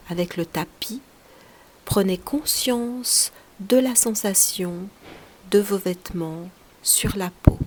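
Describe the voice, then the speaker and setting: A woman leading a guided relaxation meditation as a yoga teacher, speaking softly and soothingly in short, unhurried phrases, often breathy as they trail off. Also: medium pitch, a little hiss or hum.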